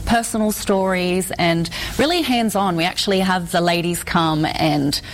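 A woman with an Australian accent speaks in a slightly low register with faint noise behind her. She explains things earnestly and at a measured pace, as a guest in a casual interview. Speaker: guest, horse trainer in women's ministry.

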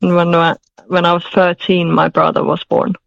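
A woman's voice: on the low side, flat delivery, normal speed, vocal fry, no room sound, dull audio. A woman in her forties raised in Denmark, answering interview questions hesitantly with many fillers and restarts. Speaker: guest, author.

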